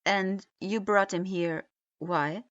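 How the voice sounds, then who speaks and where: A woman voicing a stern, weary male criminal leader from a storybook reading, speaking dryly and slowly. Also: medium pitch, steady tone, a bit bright. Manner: dry and measured. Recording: dull lo-fi recording.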